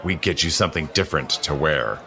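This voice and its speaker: Serious urgent protector type, deep crisp-edged voice, no-nonsense, audio drama. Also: male voice, lively intonation, pushed and bright, full character voice. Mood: brisk. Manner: earnest, brisk, matter-of-fact. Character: grave, urgent male protector with secrets.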